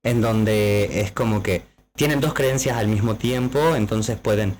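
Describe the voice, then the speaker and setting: A man's voice: lowish voice, unhurried, darkish tone. A man co-hosting a casual podcast, explaining at length with many fillers. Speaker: podcast co-host.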